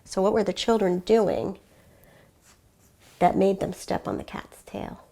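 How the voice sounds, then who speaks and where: A woman teacher reading a children's story aloud in an expressive, deliberate way, with hushed asides and questions for young listeners. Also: mid-range voice.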